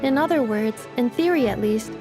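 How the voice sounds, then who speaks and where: A woman voicing a polite, analytical female robot in a video game, speaking in a measured, formal manner. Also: fairly high pitch, expressive, music in the background. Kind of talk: game dialogue acting.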